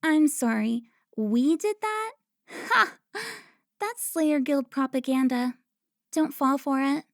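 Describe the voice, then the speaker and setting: A woman playing a seductive, haughty vampire informant in an audio drama, teasing with drawn-out, theatrical delivery. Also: high voice, no echo, studio quality. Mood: teasing, smug. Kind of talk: cinematic audio roleplay acting.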